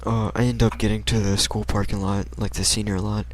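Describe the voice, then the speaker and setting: A man's voice: on the low side, flat delivery, fairly quick, noisy background. A young American man casually telling a personal story in a rambling, slangy, hesitant way. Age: young adult.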